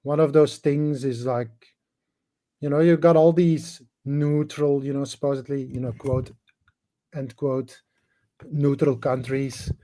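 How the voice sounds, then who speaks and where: A middle-aged man from Belgium, Dutch-speaking by birth, who talks English with a Flemish accent as a caller, halting and restarting often in casual chat. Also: mid-range voice, steady tone, warm tone. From Belgium, Dutch-speaking part. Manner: halting, hesitant, many restarts and fillers.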